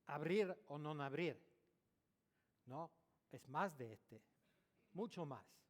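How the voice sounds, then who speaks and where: A man speaking Spanish as a non-native speaker, giving a dental conference lecture, pausing often and correcting his own words. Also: a little high, expressive. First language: French or Italian, not Spanish. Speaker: dentist giving a conference talk. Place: large lecture hall. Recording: echoey hall recording.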